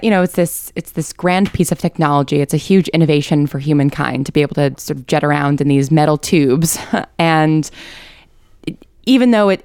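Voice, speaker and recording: A woman with a low, clear voice talks reflectively in an interview. She explains her ideas in an articulate, considered way, as a writer would, and the sound is dry and close. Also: a little mellow, no room sound. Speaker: interviewed writer of an audio drama.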